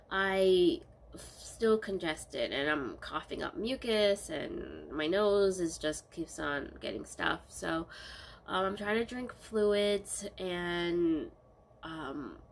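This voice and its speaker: A young woman talks to her camera from a small bedroom, her voice stuffy and congested from a cold. A gravelly fry runs under her easygoing, rambling speech full of ums. Faint room noise sits behind her. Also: fairly low pitch. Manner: rambling, many ums, relaxed diary talk. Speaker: vlogger. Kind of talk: casual vlog chat.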